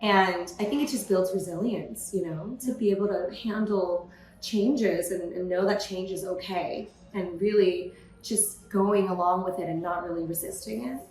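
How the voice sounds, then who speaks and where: A woman from California, a podcast guest, telling her story in a lively, casual way with many "you know" fillers. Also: lowish voice, moderate pace, roomy sound, a little hiss or hum, rough recording. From California, USA. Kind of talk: casual interview conversation.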